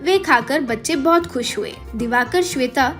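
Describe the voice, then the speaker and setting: A woman's voice: high voice, expressive, moderate pace, a bit bright, soft background music. A woman reading a scripted moral story as its narrator.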